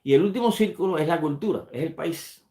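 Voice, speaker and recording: Gravelly-voiced man teaching in an echoey room. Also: on the high side, dark tone. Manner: halting, repeats words while searching for them. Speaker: rabbi teaching a study class. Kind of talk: unscripted religious lesson.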